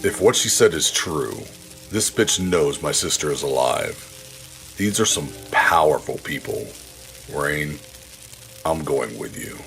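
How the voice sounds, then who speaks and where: A man's voice: very deep voice, animated, unhurried, creaky, a bit bright, full character voice, poor audio. A man playing a troubled, grieving and determined male ally in a noir audio drama, speaking in a somber, earnest way. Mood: earnest, pleading. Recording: music behind the voice. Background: music.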